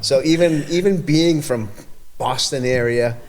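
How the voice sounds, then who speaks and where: A man with an Everett, Massachusetts accent, talking as a community event organizer in a casual podcast chat, rambling and halting with many restarts. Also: mid-range voice, a little mellow, a little hiss or hum, poor audio.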